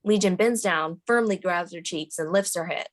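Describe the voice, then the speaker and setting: A woman's voice: fairly low pitch, moderate pace. A woman reading screenplay stage directions as the narrator at a table read.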